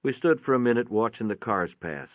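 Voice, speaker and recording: A man giving a dramatic first-person reading of a short story in a measured, reflective way. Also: mid-range voice, expressive, dry recording. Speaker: story reader. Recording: thin band-limited phone-like sound, dry.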